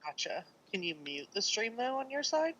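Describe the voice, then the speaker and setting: Loud, bright-voiced playful woman. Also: mid-range voice, moderate pace, no room sound, rough recording. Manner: playful, lively banter. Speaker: podcast cohost. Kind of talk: casual podcast chat. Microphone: desk microphone.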